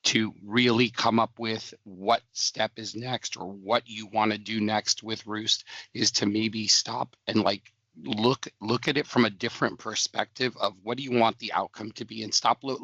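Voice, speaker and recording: A hoarse man who has lost his voice speaks low and dry, with almost no room sound. He wanders through his advice with many restarts. The call audio is slightly muffled. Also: a bit bright, no echo. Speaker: community member, former developer. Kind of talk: casual video call chat.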